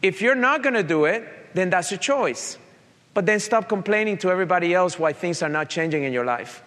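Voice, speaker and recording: A man's voice: sits high, animated, brisk, a bit bright, big-room sound. A man from Colombia with a Colombian accent touched by Italian, giving an earnest motivational talk and speaking directly to his audience. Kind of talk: motivational talk with personal story.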